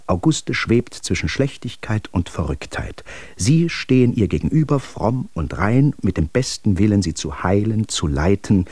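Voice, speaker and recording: Sober friend telling someone off by letter, deep mellow voice, radio play. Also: male voice, slightly rough, no echo. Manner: formal, admonishing. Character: measured, reproving male friend advising by letter.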